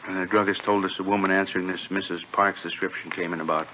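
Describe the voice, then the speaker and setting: Actor as flat, low-voiced police detective. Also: male voice, fast, natural voice. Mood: casual. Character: laconic, casual working police detective reporting a lead. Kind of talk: 1950s radio drama acting. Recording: dull, noisy old radio recording.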